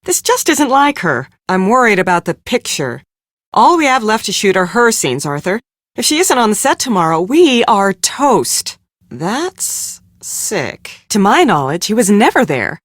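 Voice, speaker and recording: Brisk, exasperated film crew boss, animated voice. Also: female voice, medium pitch, no echo, studio quality. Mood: worried, then suspicious. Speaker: voice actor in an adventure game. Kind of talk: scripted game character dialogue.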